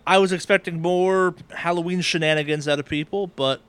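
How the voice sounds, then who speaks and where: A man's voice: high voice, steady tone, a little fast, fairly bright tone, smooth. A man hosting a gaming podcast in casual chat, with a wry, casually profane way of talking. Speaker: podcast host.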